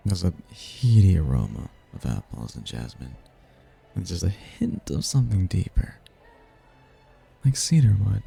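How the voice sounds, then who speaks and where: A man playing a suave, seductive male demon in an intimate ASMR roleplay, teasing and flirtatious, with a husky, gravelly murmur. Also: warm tone, heavily acted. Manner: slow, flirtatious, breathy murmurs with low chuckles.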